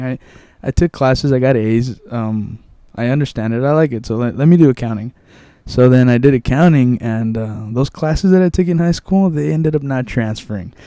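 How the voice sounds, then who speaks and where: A man's voice: mid-range voice, warm tone, clean tone, no echo. A man in his twenties, born in Mexico with Spanish as his first language, chatting casually on a podcast and often repeating words as he recalls. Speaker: guest.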